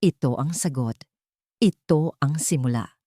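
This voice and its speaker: A woman voicing a kindly, serious older neighbour woman in a dramatic story, gentle and solemn. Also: low-pitched, measured pace, no echo, studio quality.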